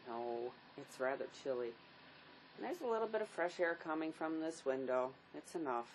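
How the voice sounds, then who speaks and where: A woman's voice: low-pitched, animated, moderate pace. A woman acting a weary, grieving divorced mother in a drama, world-weary and subdued. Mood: wry. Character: grieving, weary divorced mother who lost her young son. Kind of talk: stage-style dramatic play acting. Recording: noisy amateur recording.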